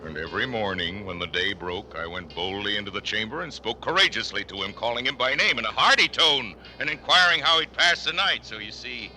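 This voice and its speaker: An actor playing a nervous, cunning, mad murderer confessing in a theatrical horror monologue from a classic radio play, swinging between loud declaiming and hushed whispers. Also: male voice, animated, a little fast, few pauses. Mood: intense, explaining. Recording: noisy old radio recording, vehicle rumble. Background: vehicle noise from a driving game.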